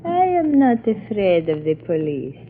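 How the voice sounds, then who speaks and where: Casting: exotic temptress, lowish and unhurried, sly veiled menace, vintage radio thriller. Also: female voice, big pitch swings, slight background noise. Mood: cool, conspiratorial. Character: exotic Indian dancer, sly, veiled-threatening villainess. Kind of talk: 1940s radio acting. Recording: dull old radio recording.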